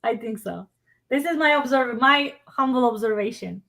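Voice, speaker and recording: High-pitched woman on a video call, stops and restarts a lot, searches for words. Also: gravelly, a bit bright. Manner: halting, searching for words in a second language. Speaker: workshop presenter. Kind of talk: answering questions in an online Q&A.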